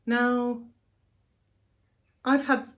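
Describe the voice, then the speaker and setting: A woman's voice: fairly high pitch, level tone, extremely slow, lo-fi sound. A woman in her forties with a posh English accent, hosting a reflective solo talk from her living room with frequent ums and light laughs. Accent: posh southern English. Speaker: podcast host. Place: living room at home, indoors.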